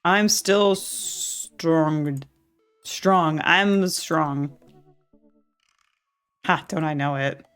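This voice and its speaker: Casting: goofy cheerful dad, corny and upbeat, read by a woman streamer, slightly low, faint music. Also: extremely slow, pauses often, fairly bright tone, clear, resonant tone, full character voice. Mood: friendly. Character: upbeat cheesy suburban dad, male.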